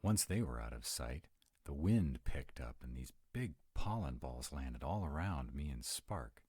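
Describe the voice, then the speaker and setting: A man's voice: extremely low pitch, very animated, measured pace, a little mellow, breathy edge, dry recording, full character voice. A man playing an earnest, dutiful young vampire in a roleplay audio drama, speaking hesitantly and protectively. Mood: uneasy, recounting.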